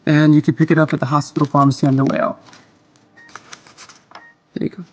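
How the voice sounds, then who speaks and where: A man playing a stern, detached doctor in an audio drama, calm and clinical in delivery. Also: fairly high pitch, brisk, highs cut off, lightly acted. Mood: cold, stern. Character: cold, stern, clinical male doctor, romantic lead.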